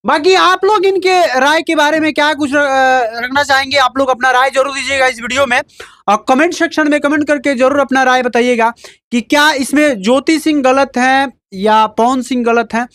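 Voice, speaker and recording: Guy interviewing, really high pitched voice, halting questions, dry sound. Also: steady tone, a bit bright, no echo. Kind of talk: casual street-news interview.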